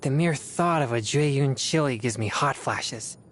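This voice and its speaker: A woman voicing an earnest, disciplined teenage boy exorcist in a fantasy video game, speaking in a measured, formal way. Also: rumbling low, slight background noise. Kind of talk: video game character voice lines. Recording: music behind the voice.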